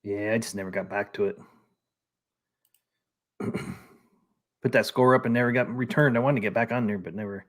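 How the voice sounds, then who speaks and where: A man's voice: on the low side, normal speed, long pauses. A man hosting a casual live stream, chatting and reading out viewer comments. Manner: easygoing, reads out viewer comments.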